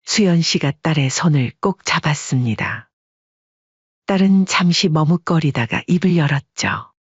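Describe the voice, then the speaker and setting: A narrator reading a family story in a calm, even storytelling manner, recorded dry and slightly dull. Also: mid-range voice, normal speed, breathy edge, no echo.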